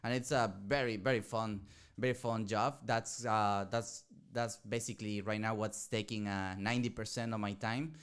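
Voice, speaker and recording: A man's voice: on the low side, fairly even intonation, normal speed, few pauses, a bit bright, clean tone. A man, an art director, describing his work in casual podcast chat, hesitant and full of fillers. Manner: hesitant, many fillers and restarts.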